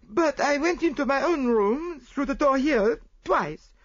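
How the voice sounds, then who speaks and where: A man's voice: extremely high pitch, lively intonation, measured pace, no room sound, dull audio, pitched above the performer's own voice. A man reading aloud voices a young lady's maid who speaks in a pleading, defensive way. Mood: anxious. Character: tearful young French lady's maid, anxious.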